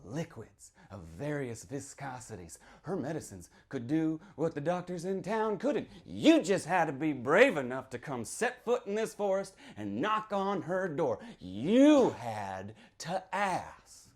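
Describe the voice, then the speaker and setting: A man performing a sly small-town local who tells a witch legend, theatrical and confiding, moving between hushed and declaimed delivery. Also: fairly high pitch, sing-song, measured pace, hardly any pauses. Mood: sly, insinuating. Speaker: author reading from own novel. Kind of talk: dramatic live reading of a horror story.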